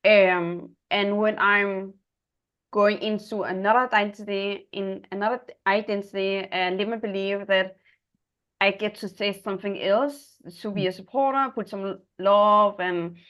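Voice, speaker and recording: A woman from Denmark with a Danish accent, hosting a personal, reflective conversation in non-native English, haltingly searching for her words. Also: mid-range voice, fairly even intonation, lo-fi sound. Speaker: interview host.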